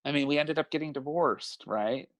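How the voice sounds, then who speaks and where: A man's voice: a little high, normal speed, no room sound. A man in his late thirties, a family therapist talking candidly as an interview guest, reflective, laughing often and swearing casually. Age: 30s.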